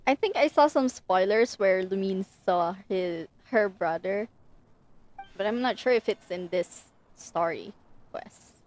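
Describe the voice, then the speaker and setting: A woman's voice: mid-range voice, measured pace. A woman livestreaming a game, reacting casually and emotively to the story. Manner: emotive, soft-hearted reacting.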